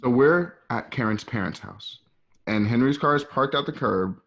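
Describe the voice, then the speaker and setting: A man's voice: medium pitch, lively intonation, normal speed, creaky, a bit bright. A man narrating an amateur radio drama, reading out the scene directions as the narrator. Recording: dull lo-fi home recording.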